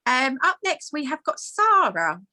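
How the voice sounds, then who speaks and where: A woman's voice: high voice, big pitch swings, a little slow, bright, forceful tone. A woman hosting an online business call, upbeat and gushing as she introduces speakers. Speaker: host.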